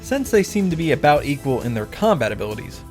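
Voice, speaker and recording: Male recap host, slightly high voice, wry and sweary, mock-grand delivery, music underneath. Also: big pitch swings, quick pace, a little mellow. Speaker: visual novel reactor and narrator. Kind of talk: scripted comedic recap commentary.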